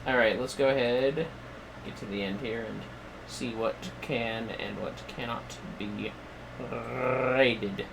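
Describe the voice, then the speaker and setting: A man hosting a game livestream, chatting casually and haltingly as he muses to himself. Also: mid-range voice, fairly even intonation, gravelly, background noise. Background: music.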